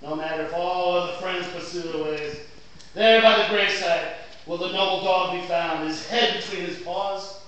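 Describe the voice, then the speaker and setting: Man acting an impassioned, high-pitched courtroom lawyer. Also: steady tone, normal speed, a bit bright. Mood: solemn. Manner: grandiloquent, declamatory, solemn. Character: impassioned male lawyer pleading to a jury. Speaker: stage actor. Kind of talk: theatrical stage oratory. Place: indoor theatre stage. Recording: echoey theatre, distant mic, faint noise.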